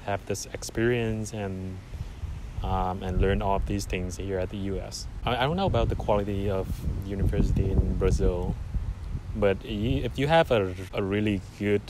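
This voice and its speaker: A man's voice: low-pitched, fairly even intonation, moderate pace, background noise. A young man with a Vietnamese accent, a graduate student, answers interview questions thoughtfully and often repeats words while he thinks.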